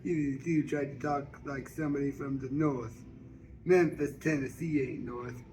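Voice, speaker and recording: A man reading a first-person novel aloud as an amateur narrator, halting, with stumbles and repeated words. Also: medium pitch, steady tone, a little mellow, low-quality recording. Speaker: amateur audiobook reader. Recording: faint background noise.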